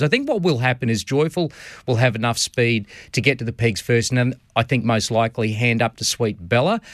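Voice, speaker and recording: Australian racing guest, mid-pitch, dry close studio mic, eager, stumbling restarts. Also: male voice, no room sound. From Queensland, Australia. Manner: enthusiastic, many restarts and fillers. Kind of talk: radio studio interview, race previews. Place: radio studio.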